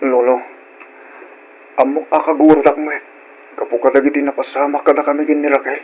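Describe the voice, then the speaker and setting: A man playing a remorseful young grandson in a radio drama, contrite, halting and pleading. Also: a little high, little pitch movement, darkish tone, breathy edge, lo-fi sound. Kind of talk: Ilocano radio drama acting.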